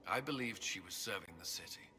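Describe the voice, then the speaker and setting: A man's voice: low voice, animated, brisk, sharp tone, exaggerated voice. An actor playing a guilt-ridden male templar commander in a video game, speaking solemnly and earnestly. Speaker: game character.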